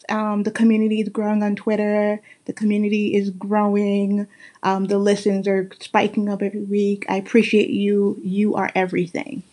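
A woman in her thirties from Guyana with a Guyanese accent, hosting a solo podcast in an earnest, emphatic way, slowing down to stress her points. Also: on the high side. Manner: earnest and emphatic, with slow deliberate stresses and warm laughs. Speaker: podcast host. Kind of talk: casual solo podcast monologue.

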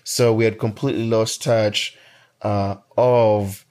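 A man's voice: fairly low pitch, fairly even intonation, takes it slowly, a little mellow. A man in his twenties from Kenya, telling a personal story in casual conversation with many restarts. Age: 20s. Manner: storytelling, frequent restarts and fillers.

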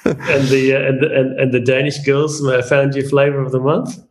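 A man's voice: mid-range voice, level tone, normal speed, a little hiss or hum, poor audio. A man chatting casually as an interviewer, asking questions and commenting. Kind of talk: casual chat.

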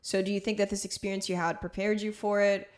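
Animated woman with a lilt. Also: medium pitch. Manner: animated, laughs easily, quick bursts. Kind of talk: casual conversation.

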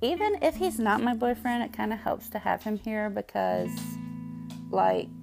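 A young woman with a Southern US accent, chatting candidly and rambling on her solo podcast, full of fillers and pauses. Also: a little high, very animated, vocal fry, a little mellow, faint music behind. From Southern United States. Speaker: podcast host.

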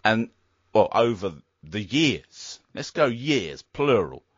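A man's voice: fairly low pitch, very animated, slow, breathy edge, no room sound. A man hosting an interview show, prompting guests casually with many ums and uhs. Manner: hesitant, lots of ums, friendly prompting. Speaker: show host. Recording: dry muffled recording with faint music.

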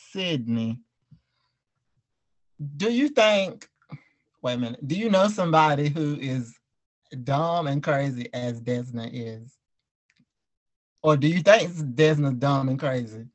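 A man's voice: on the high side, expressive, slow pace, pauses often. A man hosting a casual group interview, speaking in an informal, chatty way. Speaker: host and audio drama writer.

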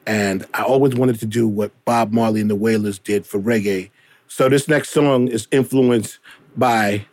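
Gravelly, low-pitched New man. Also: a little mellow, dry recording. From South Bronx, New York, United States. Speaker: musician and storyteller. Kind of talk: reflective spoken storytelling.

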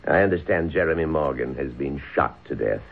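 A man's voice: rumbling low, a little fast, gravelly, full character voice. An actor playing a stern, scheming rich father who orders his son about, in old-time radio drama style. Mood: stern, angry. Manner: stern, commanding, impatient. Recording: muffled phone-like old radio recording.

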